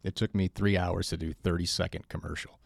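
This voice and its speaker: Very deep-voiced male podcast host. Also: a little fast, gravelly. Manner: relaxed, storytelling, self-deprecating. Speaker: podcast host and fitness school instructor. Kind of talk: casual podcast conversation.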